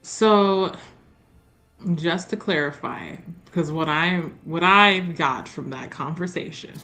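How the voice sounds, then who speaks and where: A woman's voice: fairly low pitch, slow, pushed and bright, faint music behind. A woman chatting casually and explaining things emphatically as a player in a tabletop roleplaying game.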